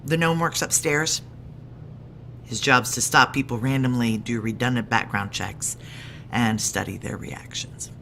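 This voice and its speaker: A woman playing a gruff, world-weary ex-Ranger security chief in an audio drama, brusque, wry and commanding. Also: extremely low pitch, steady tone, measured pace, fairly bright tone, slight background noise, exaggerated voice. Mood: brusque, impatient. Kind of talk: naturalistic audio drama acting with first-person narration.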